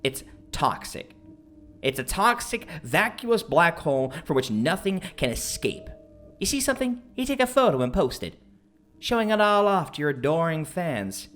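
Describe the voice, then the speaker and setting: A man's voice: fairly high pitch, sing-song, normal speed, a bit bright, faint music behind. An actor playing a bitter, boastful young man, an internet misogynist, in a dramatic, self-pitying audio drama style. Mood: bitter ranting.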